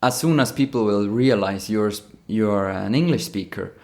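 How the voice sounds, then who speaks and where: A man's voice: fairly low pitch, moderate pace, darkish tone. A man with a Swiss German accent, a native Swiss German speaker co-hosting a casual podcast, thoughtful and often restarting his phrases. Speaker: podcast co-host.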